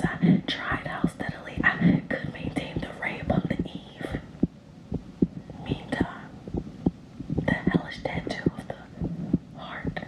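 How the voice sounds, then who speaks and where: An ASMR storyteller reading a gothic horror tale in a slow, deliberate whisper with drawn-out pauses. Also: roomy sound, rough recording.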